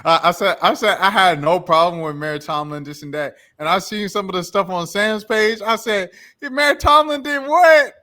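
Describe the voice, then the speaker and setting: A man's voice: sits high, normal speed, hardly any pauses, sharp tone. A young man hosting a podcast in casual, easygoing chat full of slang. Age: young adult. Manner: easygoing, chuckling, drifts into slang. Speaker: podcast host.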